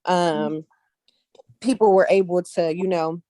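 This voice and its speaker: A woman's voice: fairly low pitch, fairly even intonation, takes it slowly, mellow, no room sound. A woman speaking casually and earnestly as an interview guest, often repeating phrases for emphasis. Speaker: guest casting director, actress and model.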